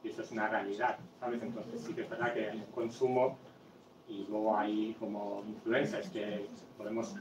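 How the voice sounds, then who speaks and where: A low-pitched man talking in a flat, insistent tone at a panel discussion. He sits away from the mic, so the sound is roomy and carries background noise. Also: normal speed, dull audio. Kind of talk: casual discussion. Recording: distant, echoey room with noise.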